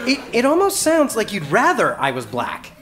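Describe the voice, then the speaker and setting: An actress playing a bewildered, skeptical start-up employee in a comedy radio play, speaking in a hesitant, casual, incredulous way. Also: female voice, medium pitch, wildly swooping pitch, normal speed, loud music behind the voice, a loud crowd.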